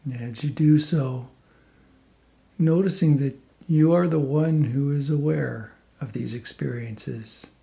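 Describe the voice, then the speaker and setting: A man leading a guided meditation as a teacher, speaking calmly in unhurried phrases with long pauses between them. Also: medium pitch, vocal fry.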